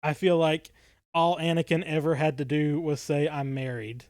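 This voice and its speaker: A man's voice: on the high side, little pitch movement, moderate pace, darkish tone, no echo, clean studio sound. A man co-hosting a podcast, talking casually in a fan discussion. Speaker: podcast co-host.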